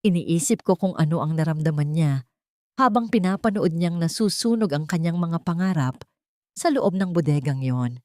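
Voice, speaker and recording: Woman acting a coldly vengeful wronged wife, low-voiced. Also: expressive, normal speed, dark tone, dry recording, clean studio sound, close to an everyday voice. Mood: menacing, pointed. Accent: Filipino.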